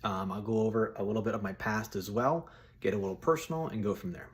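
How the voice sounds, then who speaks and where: A man in his thirties with a fairly low voice talks straight to his viewers. He is informal and blunt, addressing them like buddies in a loose, casual chat. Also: animated, fast talker. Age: 30s. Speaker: solo video host giving personal opinions.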